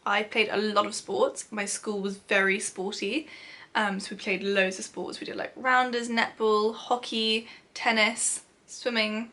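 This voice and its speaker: A woman's voice: mid-range voice, sharp tone. A young British woman chatting casually as a vlogger, self-deprecating and giggly as she answers questions. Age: young adult. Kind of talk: casual chat to camera, answering a question list.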